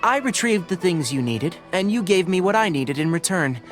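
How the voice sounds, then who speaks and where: An actor playing a cautious young forest scholar in a video game, speaking in a precise, analytical, instructive way over music. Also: male voice, high voice, sing-song, moderate pace. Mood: matter-of-fact.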